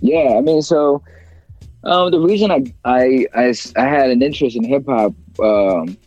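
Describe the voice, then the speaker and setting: A man's voice: mid-range voice, normal speed, darkish tone, dry recording, muffled recording, soft background music. A young man from China, a rapper as interview guest, talking casually in a rambling way with many fillers and restarts.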